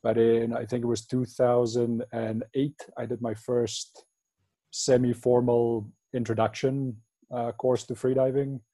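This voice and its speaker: A man from South Africa, in his thirties, telling his story as a guest in a casual interview, relaxed and full of fillers. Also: medium pitch, measured pace, no echo. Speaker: guest, freediving instructor.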